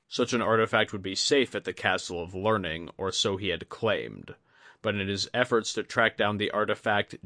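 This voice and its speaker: A man reading scripted fantasy fiction as an audiobook narrator, in a measured, literary manner. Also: fairly low pitch, fairly bright tone, no echo, studio quality.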